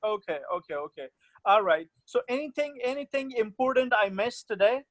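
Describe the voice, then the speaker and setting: A young man from Afghanistan, a student asking his instructor questions on a video call, hesitant and halting. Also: high-pitched, animated, a bit bright, dry recording. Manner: hesitant, many fillers and restarts. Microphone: computer mic on a video call.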